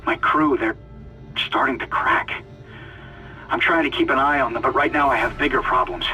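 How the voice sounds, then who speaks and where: An actor playing a shaken, anxious chief engineer on a besieged spaceship, in a dramatic video game audio log. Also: male voice, medium pitch, lively intonation, normal speed, pushed and bright, faint music behind, poor audio. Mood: grim, weary.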